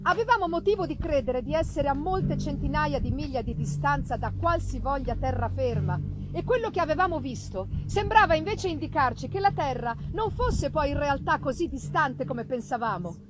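A woman giving a theatrical, deliberately phrased dramatic reading of a gothic novel, with pauses for effect. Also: high voice, animated, over music, poor audio. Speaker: reader of a literary work.

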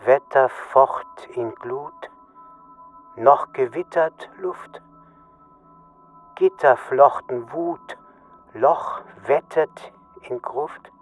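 Enigmatic male poet, radio drama, extremely slow verse recitation, faint music underneath. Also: medium pitch.

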